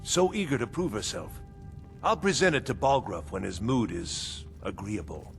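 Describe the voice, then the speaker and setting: Courteous game steward, formal, lively intonation, gravelly edge, long reverb, music behind. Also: male voice, on the low side, moderate pace. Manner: polite, formal. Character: courteous, formal, fussy male castle steward. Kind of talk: video game character dialogue.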